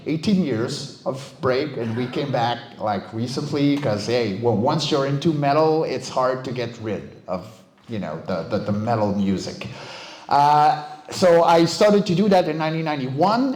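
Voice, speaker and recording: A man in his forties speaks casually to a live audience in a roomy, echoing space. His voice sits a little high for a man, and he keeps the talk informal, poking fun at himself as he goes. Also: expressive, normal speed, a little mellow. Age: 40s. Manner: joking, self-deprecating. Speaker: guest speaker, monster voice actor and vocal coach. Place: indoor venue at an evening meetup.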